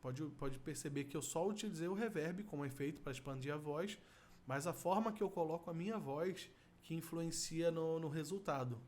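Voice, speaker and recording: A man's voice: fairly high pitch, normal speed, darkish tone. A middle-aged man with a Brazilian accent, explaining vocal effects as an instructor in a tutorial. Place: small indoor room at a computer.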